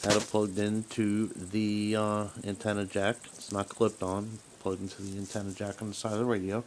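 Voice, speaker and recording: A man talking casually and haltingly as he rambles through a hobbyist's gadget troubleshooting demonstration. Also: lowish voice, level tone. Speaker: radio hobbyist. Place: small room at home, indoors. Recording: noisy home recording with background hiss.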